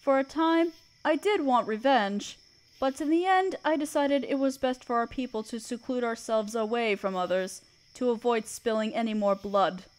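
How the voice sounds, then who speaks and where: High-voiced motherly spider queen, played by a woman. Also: lightly acted. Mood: gentle, wistful. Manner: soft, soothing, deliberate storytelling. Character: gentle motherly spider queen, older, sorrowful, regal, protective. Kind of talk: comforting roleplay audio drama.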